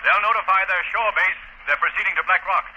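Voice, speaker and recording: An actor playing a composed, assured gentleman crime fighter in old-time radio drama, with declarative delivery. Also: high-pitched, fast talker, natural voice. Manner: crisp, declarative. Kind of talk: 1930s-40s radio drama acting. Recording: dull, faintly noisy vintage radio recording.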